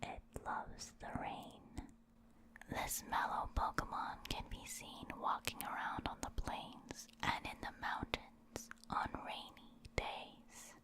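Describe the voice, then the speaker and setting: A woman reading reference entries in an unvoiced, breathy ASMR whisper, with measured, spaced-out phrasing. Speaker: ASMR host.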